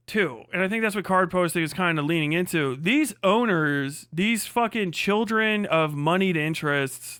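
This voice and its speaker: A man's voice: on the high side, fairly bright tone, clear, resonant tone, no echo, clean studio sound. A man from the United States giving a casual, profane opinion rant as a livestream host, blunt, irreverent and emphatic.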